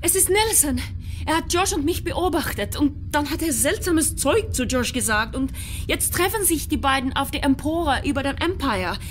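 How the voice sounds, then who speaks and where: A woman's voice: high voice, lively intonation, moderate pace, a little hiss or hum. An actress playing an anxious woman who pleads urgently in a German radio crime drama. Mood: pleading, anxious. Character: anxious, pleading young woman afraid for her lover.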